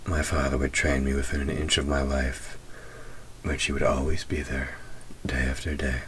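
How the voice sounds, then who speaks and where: Very deep-voiced, soft-spoken male demon, acted by a man. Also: little pitch movement, slight background noise. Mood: wistful, tender. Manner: slow, deliberate, softly murmured, often near a whisper. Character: centuries-old male demon, proud, gruff but tender. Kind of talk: intimate audio roleplay drama.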